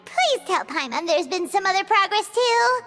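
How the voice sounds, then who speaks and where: A woman voicing a tiny, bossy, excitable fairy companion in a video game, in a squeaky childlike voice. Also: moderate pace, faint music behind, full character voice. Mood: surprised, demanding. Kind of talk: cartoonish video game voice acting.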